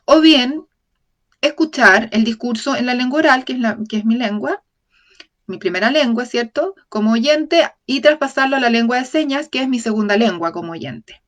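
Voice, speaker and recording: A woman voicing a lecture for an interpreter, speaking in a measured way, often correcting herself mid-phrase and checking in with the audience. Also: on the high side, lively intonation. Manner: measured, with frequent self-corrections and tag questions to the audience. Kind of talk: voiced-over lecture in an open talk series.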